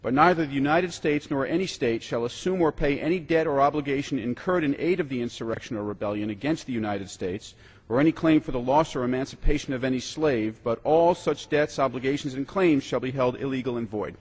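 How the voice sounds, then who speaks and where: A man, a member of Congress, with a raspy voice, formally reading a legal document aloud. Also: mid-range voice, fairly quick. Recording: reverberant large legislative chamber.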